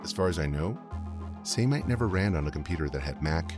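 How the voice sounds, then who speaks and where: A man playing a brooding investigator who narrates a dark horror podcast in a measured, deliberate, confiding way. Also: sits low, expressive, music in the background. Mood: measured, intrigued. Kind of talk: scripted first-person horror podcast narration.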